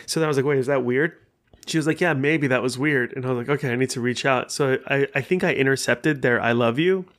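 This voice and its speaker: Brief: young adult male podcast host, solo casual chat, swears freely, rambles with excitement, mid-pitched voice. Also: fast talker. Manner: rambling, profane, enthusiastic.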